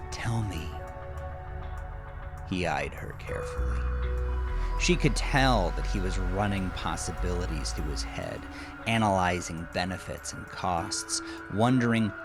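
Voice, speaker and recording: A man reading scripted horror fiction as narrator, in a measured, solemn and deliberate manner. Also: sits low, animated, over loud music.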